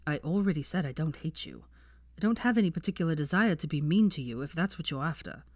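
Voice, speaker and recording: A woman voicing a calm, aloof young wizard man with a civil, drily sarcastic manner in a story reading. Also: no echo. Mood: subdued, even. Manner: low-key, measured, civil, drily sarcastic.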